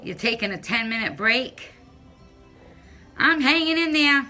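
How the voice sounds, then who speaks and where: A middle-aged woman from Massachusetts with a non-rhotic local accent, chatting casually on a phone live stream from her home, loud and talking to viewers by name. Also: high-pitched, expressive, measured pace, faint music behind. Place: home, by a window. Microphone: phone held at arm's length.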